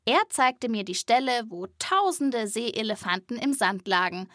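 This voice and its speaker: A woman's voice: a little high, lively intonation, measured pace, a bit bright, dry recording. A woman hosting a children's podcast, telling stories as scripted narration and addressing young listeners directly, recorded in a studio.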